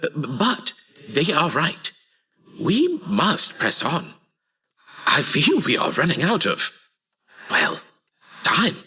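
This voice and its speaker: An aged scholarly master, pondering as he speaks. His rasp is heavy and sharp-edged, slow and halting, with expressive swells over faint music. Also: fairly high pitch, heavily acted. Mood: grave. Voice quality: raspy. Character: weary old archivist master, thoughtful time-travelling mentor. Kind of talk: fantasy audio drama acting.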